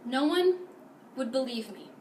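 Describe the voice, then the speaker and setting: A woman's voice: sits high, lively intonation, measured pace. A woman playing a distraught, defensive young mother in an intense, pleading dramatic monologue. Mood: defensive, agitated. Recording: roomy, distant mic, loud background noise.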